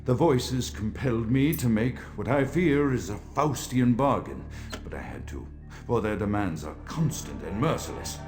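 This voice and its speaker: An actor playing a fearful, paranoid vampire doctor in a video game, reciting a formal, florid diary monologue. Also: male voice, on the low side, animated, moderate pace, darkish tone. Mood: grave, desperate. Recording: faint music behind the voice.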